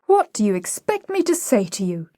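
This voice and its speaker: A woman voicing a bullying, impatient gentleman professor, brusque and peremptory, in a play reading. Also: mid-range voice, very animated, normal speed, no echo, clean studio sound. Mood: incredulous.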